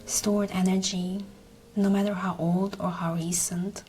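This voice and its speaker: Slow, hushed woman guiding meditation over music. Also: medium pitch, level tone, rough recording. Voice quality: soft, breathy, often near whisper. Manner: soothing, gentle, unhurried. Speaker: guided meditation host. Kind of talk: slow guided meditation narration.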